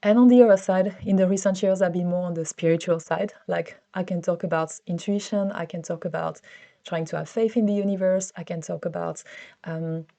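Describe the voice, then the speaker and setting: A woman's voice: lowish voice, fairly even intonation, fairly quick, creaky, warm tone. A woman in her thirties with a French accent, chatting casually to camera in a solo vlog, often restarting her sentences. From France. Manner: chatty, with frequent restarts and fillers. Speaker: vlogger.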